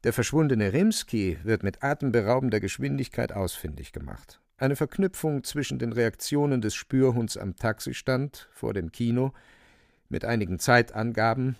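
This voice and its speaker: A male narrator with a lowish voice reads literary radio drama. His phrasing is theatrical and emphatic, and the sound is dry and close. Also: creaky, a little mellow, dry recording.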